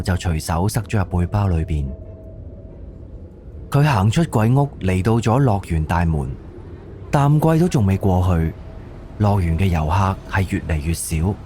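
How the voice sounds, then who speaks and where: A man's voice: fairly low pitch, warm tone, a little hiss or hum. A man with a Cantonese accent narrating a scripted audio drama as its storyteller.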